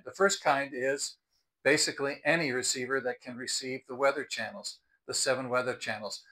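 A man's voice: medium pitch, bright, forceful tone, low-quality recording. An American man in his sixties explaining products to camera in a conversational, emphatic, unscripted way. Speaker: product review host. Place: outdoors on a house porch by the front door.

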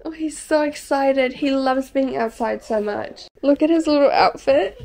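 A woman in her twenties talks to her camera in a casual, chatty way. Her voice sits fairly high. It is a handheld vlog recording with faint noise around her. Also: unhurried, a little mellow. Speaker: vlogger. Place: living room, car park and outdoor cafe. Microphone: camera at arm's length.

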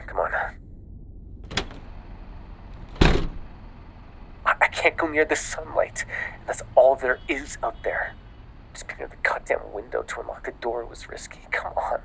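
A man playing a panicked, exhausted newly turned vampire in an ASMR audio roleplay, stammering and often dropping into a breathy whisper. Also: mid-range voice, a bit bright. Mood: hushed, apologetic. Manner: halting, stammering, breathy sighs and frequent swearing.

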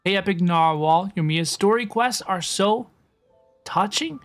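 Female game streamer, medium pitch, casual and chatty, reacting with repeated words over faint music. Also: a little slow.

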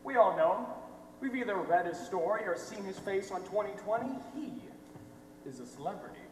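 Far off in an echoing theatre, a young man plays a brash reporter on stage. He swings his pitch widely and punches his words in slangy, theatrical bursts. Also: expressive, a little fast. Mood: slick, dramatic. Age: young adult. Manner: theatrical, slangy, emphatic delivery. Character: brash, fast-talking male reporter exposing a scandal. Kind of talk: amateur stage play acting. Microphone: distant microphone. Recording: echoey theatre hall from far off, noisy.

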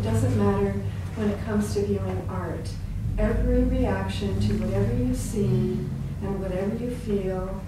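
A woman making an earnest comment from an audience in a halting, deliberate way with many pauses. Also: medium pitch. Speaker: artist in the audience. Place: small hall, away from the mic. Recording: distant, echoey room with background noise.

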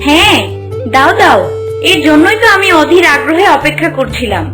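A woman's voice: high-pitched, very animated, moderate pace, loud music behind the voice. A woman voicing a haughty, easily wounded young princess in a cartoon fairy tale. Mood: eager. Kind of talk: cartoon voice acting.

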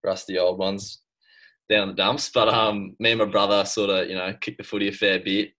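Young Aussie man, deep low voice, relaxed and rambling, laptop mic from home. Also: moderate pace. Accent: Australian. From Australia. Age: young adult. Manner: laid-back, laughs often, trails off with fillers. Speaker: guest swimmer. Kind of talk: casual chat. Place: bedroom at home. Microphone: laptop webcam on video call.

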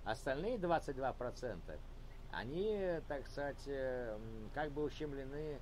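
A man's voice: mid-range voice, lively intonation, measured pace, slight background noise, poor audio. A man hosting a casual video chat debate, patiently arguing and repeating his points, in a room at home.

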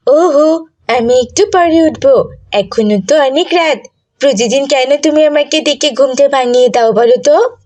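A woman voicing a whiny, high-pitched stubborn little cartoon girl. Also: a little slow, darkish tone. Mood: sulky, stubborn. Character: stubborn, whiny young girl who wants admiration.

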